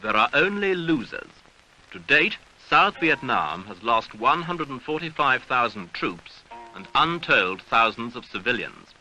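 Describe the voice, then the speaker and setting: A man reading a scripted documentary newsreel narration in a measured, grave, rhetorical manner, on an archival seventies soundtrack. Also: medium pitch, big pitch swings, sharp tone, a little hiss or hum, poor audio.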